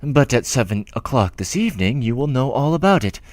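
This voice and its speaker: A humble, timid old clerk writes tenderly, rambling and breaking off mid-thought, as a male audiobook reader gives him voice. His delivery swings widely in pitch. The recording is dry and close. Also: very animated, fairly quick, dry recording, natural voice. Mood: tender fretful. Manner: rambling, self-interrupting, tender. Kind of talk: audiobook letter reading.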